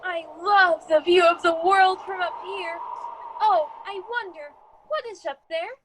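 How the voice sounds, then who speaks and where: A woman's voice: extremely high pitch, a little slow, noisy background. A woman playing an eager, reckless boy from myth in an amateur radio drama, exclamatory and impatient. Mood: exhilarated. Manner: exclamatory, impatient, wide-eyed.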